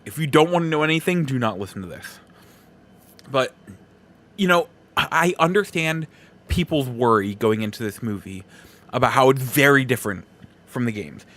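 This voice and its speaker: A man hosting a solo podcast, giving casual opinion commentary in a halting, emphatic way, repeating phrases for effect. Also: medium pitch, lively intonation, a bit bright. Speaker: podcast host.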